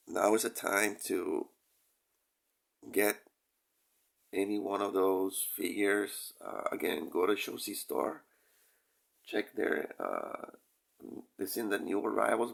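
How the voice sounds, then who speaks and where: A man with a deep, crackly voice, still rough from a cold, talks as the host of a casual review. He takes his time, pausing and rambling as he goes. His intonation moves up and down in a lively way over a heavy gravelly fry. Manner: hesitant, rambling, pausing often, frequent throat clearing. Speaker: toy review channel host. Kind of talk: casual product review talk.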